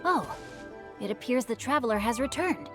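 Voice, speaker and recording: Woman as gracious, high-minded guard over music. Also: animated, normal speed, lightly acted. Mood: gracious, amused. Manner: lofty, formal phrasing. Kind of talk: video game story dialogue.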